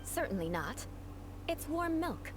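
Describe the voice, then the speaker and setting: A woman's voice: high voice, big pitch swings, heavy background noise. A woman playing a proud, formal young noblewoman knight in a video game, haughty and playfully vengeful. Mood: teasing, haughty. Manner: formal, haughty, deliberate wording. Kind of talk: video game character voice acting.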